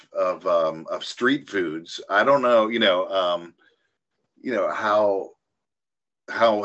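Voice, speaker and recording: A man with a low voice and a forceful, bright tone answers interview questions. He pauses and hedges with fillers as he thinks. The sound is a little dull and lo-fi. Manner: thoughtful, hesitant with fillers. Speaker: author and guest. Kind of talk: radio interview.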